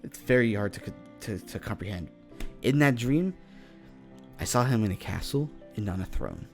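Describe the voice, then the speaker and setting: A man playing a confident young demon mage in an improvised Minecraft fantasy roleplay, speaking in a halting, conversational way and often checking for agreement. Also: on the low side, animated, gravelly. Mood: earnest, explaining. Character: confident young male demon mage, casual, secretive, determined. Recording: voice over background music.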